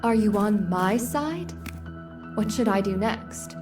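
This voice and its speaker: A woman's voice: mid-range voice, lively intonation, takes it slowly, music in the background. A woman playing a determined young rebel witch in a video game cutscene, speaking earnestly and formally. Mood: questioning.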